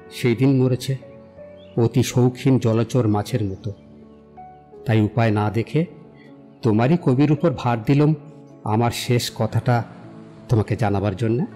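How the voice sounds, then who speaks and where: Man playing a mellow-voiced eloquent young romantic. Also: medium pitch, close to an everyday voice. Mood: solemn, poetic. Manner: declamatory, literary, philosophizing. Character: eloquent romantic young man, self-assured, poetic idealist. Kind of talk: dramatized audio story acting. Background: background music.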